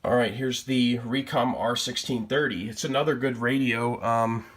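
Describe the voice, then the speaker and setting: A man's voice: medium pitch, fairly even intonation, moderate pace, fairly bright tone. A man presenting items from his collection in a casual, plain-spoken vlog style, thinking aloud as he goes. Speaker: collector presenting weather radios. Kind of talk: casual product showcase vlog.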